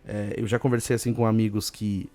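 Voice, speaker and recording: A man with a Brazilian accent hosting a casual solo podcast, hoarse and chatty, giving listeners shout-outs. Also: lowish voice, flat delivery, fairly quick, no room sound. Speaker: podcast host.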